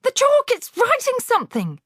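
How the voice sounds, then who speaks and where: A woman's voice: extremely high pitch, wildly swooping pitch, normal speed, fairly bright tone, dry recording, clean studio sound, pitched above the performer's own voice, heavily acted. A woman voicing a young schoolboy screaming hysterically.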